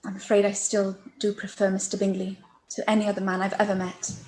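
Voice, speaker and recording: A woman playing a gentle, soft-spoken young woman. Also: mid-range voice, little pitch movement, fairly quick, lightly acted, poor audio. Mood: restrained. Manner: soft and restrained. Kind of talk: live-read radio play.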